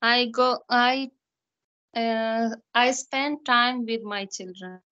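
A woman in her thirties with an Afghan accent speaks slowly in a fairly high voice. She gives halting, simple beginner answers as a test candidate, over muffled call audio. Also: bright, forceful tone. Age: 30s. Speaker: English learner, test candidate. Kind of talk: answering practice test questions. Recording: dull, lo-fi online call audio.

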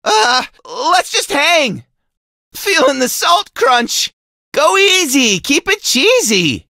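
A man's voice: very high voice, big pitch swings, slow pace, creaky, bright, forceful tone, no room sound, studio quality, full character voice. A man voicing a goofy, laid-back surfer-dude lifeguard mascot in a video game, with a slangy drawl. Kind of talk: cartoony video game voice lines.

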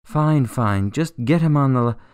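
A man's voice: medium pitch, expressive, a little slow, background noise, lightly acted. A man playing a desperate, exasperated jailed politician in a radio drama, insistent and testy. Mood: testy, urgent.

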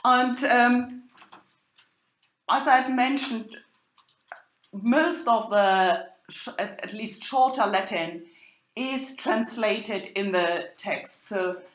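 Slow, halting German-accented woman lecturer. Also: a little high. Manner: halting, hesitant delivery with many pauses. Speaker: university lecturer in medieval German literature. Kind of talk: informal academic lecture with quoted text. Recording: roomy, echoing sound.

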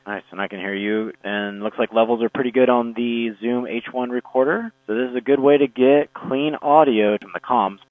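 A man's voice: medium pitch, steady tone, normal speed, few pauses, no room sound. Guy doing a casual check over helmet radio, dry and phone-like. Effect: helmet intercom radio. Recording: tinny, band-limited motorcycle intercom feed.

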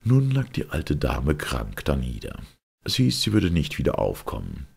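Very deep gravelly male storyteller. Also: huge pitch swings, normal speed, darkish tone. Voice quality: gravelly, very husky. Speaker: audiobook narrator. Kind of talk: expressive fairy-tale narration.

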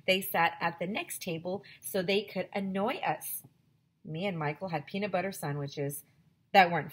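A woman in her forties, a teacher, reading a chapter book aloud to her students in an animated storytelling way, on a headset mic at home. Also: lowish voice, slightly rough. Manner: animated storytelling, switching voices for characters. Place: small home room decorated as a classroom. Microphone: headset microphone, webcam video.

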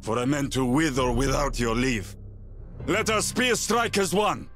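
An actor playing a proud, bold space marine captain in a video game, booming and defiant. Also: male voice, expressive, unhurried, a bit bright, soft background music. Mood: bold, eager. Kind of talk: dramatic video game voice acting.

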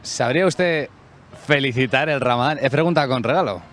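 A man's voice: mid-range voice, moderate pace, fairly bright tone, a little hiss or hum. A man interviewing passers-by on a city street with a handheld mic, asking formal questions. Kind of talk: vox pop street questions.

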